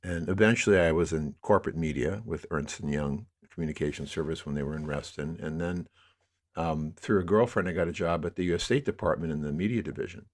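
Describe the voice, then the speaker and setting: A man's voice: a bass voice, fairly quick. An American man in his sixties, speaking reflectively and discursively as a guest in a conversational interview. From New York area, United States. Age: 60s. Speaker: interview guest, media producer.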